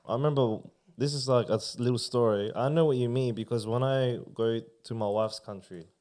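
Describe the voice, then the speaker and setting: A man with an Australian accent, chatting in a relaxed, rambling way as a podcast host. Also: medium pitch, fairly quick. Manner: relaxed, rambling, uses filler phrases. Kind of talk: casual chat.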